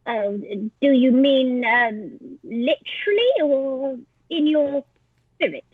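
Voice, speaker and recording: Woman as a cagey old lady in her eighties, lower than her own voice but still high, tinny call line. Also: slow, slightly rough, dry recording, heavily acted. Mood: coy. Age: 80s. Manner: evasive, hesitant with fillers. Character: sly old woman of 83, evasive. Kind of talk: improvised comedy. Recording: tinny video call audio.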